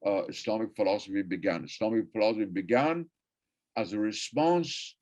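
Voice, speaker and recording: A man's voice: on the low side, brisk, darkish tone. An older man whose first language is Persian gives an academic lecture, wandering between points and repeating phrases for emphasis. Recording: muffled lo-fi recording.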